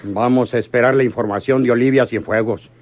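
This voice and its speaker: A man acts out a ruthless crime boss from a radio serial. He is commanding and domineering, with a mid-pitched voice and a curt, interrogating delivery. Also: fairly even intonation, fairly quick, a little mellow, close to an everyday voice. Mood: angry, menacing. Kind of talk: radionovela acting.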